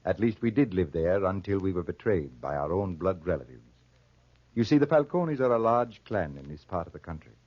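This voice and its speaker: Muffled old radio drama recording of a proud, grave farmer father. His low voice swings expressively and carries a husky edge as he tells his story with deliberate weight. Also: big pitch swings, no room sound, heavily acted. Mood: grave narration. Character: proud, honor-bound Corsican farmer father, narrating his tragedy. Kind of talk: 1940s radio drama acting.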